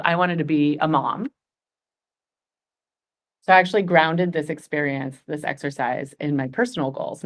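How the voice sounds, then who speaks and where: A woman in her forties leading a goal-setting workshop, chatty and upbeat, laughing often. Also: on the low side. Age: 40s. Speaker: workshop facilitator.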